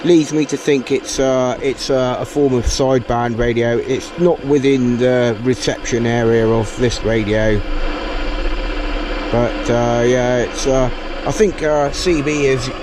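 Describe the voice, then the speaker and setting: Man, casual, over loud radio hiss. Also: medium pitch, steady tone, a little slow. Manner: hesitant, rambling, informal. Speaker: vlogger demonstrating a CB radio. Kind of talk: casual hands-on demonstration to viewers. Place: inside a car. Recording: noisy car interior, radio hiss. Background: loud radio hiss and static.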